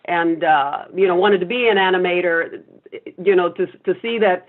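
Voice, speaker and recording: A woman's voice: fairly low pitch, moderate pace. Cuban woman on a tinny phone line. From Cuba. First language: Spanish. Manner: chatty, rambling anecdotes with frequent laughs. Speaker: guest singer-songwriter. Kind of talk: casual interview chat.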